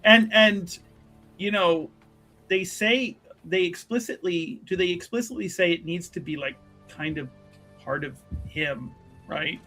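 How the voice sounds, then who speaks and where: Man, tabletop player, fairly high voice, unhurried, halting improvised narration, wordy, faint music behind. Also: sharp tone, low-quality recording. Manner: halting, wordy, often trailing off and restarting. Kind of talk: casual improvised narration of a character's actions.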